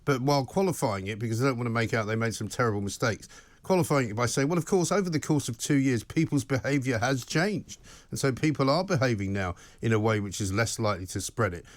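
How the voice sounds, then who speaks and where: Middle-aged studio host, brisk and wry, dry close mic. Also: male voice, medium pitch, dry recording. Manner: brisk agreeing interjections, wry asides. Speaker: talk show host. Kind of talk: studio talk show interview.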